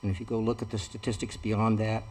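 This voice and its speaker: Earnest man urging the council, low voice. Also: level tone, fast, darkish tone, a little hiss or hum. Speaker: public commenter. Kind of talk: public comment at a council meeting. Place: council chamber.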